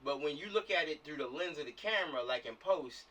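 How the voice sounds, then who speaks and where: A man's voice: fairly high pitch, animated, fairly quick, pushed and bright. An American man casually directing a planning chat over a video call, repeating himself and often checking that he is understood. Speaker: film director running a meeting. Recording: distant mic on video call.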